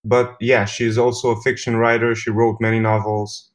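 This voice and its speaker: Man explaining calmly. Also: on the low side, moderate pace. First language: Hebrew. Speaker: interview guest. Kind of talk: casual interview chat.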